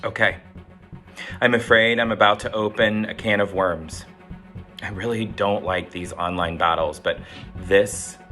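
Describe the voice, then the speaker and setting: A man's voice: on the low side, bright, forceful tone, exaggerated voice. A man voicing an indignant, self-righteous suburban driver in a mock-dramatic reading of a forum post, theatrical and aggrieved. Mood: reluctant, pleading.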